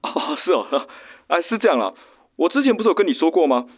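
An actor playing an awkward, eager suitor in a radio drama, fumbling and stammering through a telephone filter. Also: male voice, sits high, very animated, fast talker, slightly rough, exaggerated voice. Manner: fumbling, stammering, bashful chuckles. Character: awkward, eager, clumsy practical male suitor. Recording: thin, phone-like band-limited sound.

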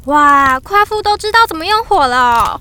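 A woman's voice: sits high, animated, normal speed, background noise. A woman voicing a cute, perky, teasing little girl in a children's radio drama.

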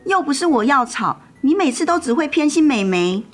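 一位女演员在广播剧中饰演十七岁、爱赌气又叛逆的姐姐。. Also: female voice, high voice, very animated, normal speed, soft background music. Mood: sulky. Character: sulky, defiant seventeen-year-old girl, elder sister. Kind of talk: radio drama acting.

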